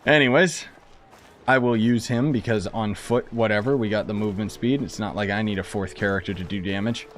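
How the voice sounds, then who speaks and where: A man's voice: fairly low pitch, fairly even intonation, a little fast. A man giving casual gameplay commentary in a sarcastic, grumbling way, swearing mildly as he plays. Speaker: gaming streamer playing and commenting. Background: game music.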